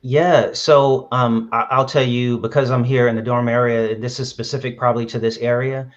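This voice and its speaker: A man's voice: medium pitch, level tone, moderate pace. A man from Brooklyn, an app founder, answering interview questions earnestly with many fillers and restarts. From Brooklyn, New York. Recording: dull lo-fi sound.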